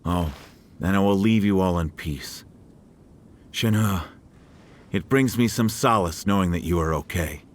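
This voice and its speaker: Low, slow, regretful villager. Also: male voice, slight background noise. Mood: tender. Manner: halting, regretful, subdued. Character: gentle, guilt-ridden middle-aged village man. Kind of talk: video game voice acting. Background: faint game music.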